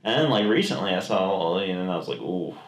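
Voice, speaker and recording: A man's voice: sits low, expressive, fairly quick. A man co-hosting a podcast, chatting casually and comedically about movies in a rambling, jokingly sarcastic way. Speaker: podcast co-host. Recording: echoey room.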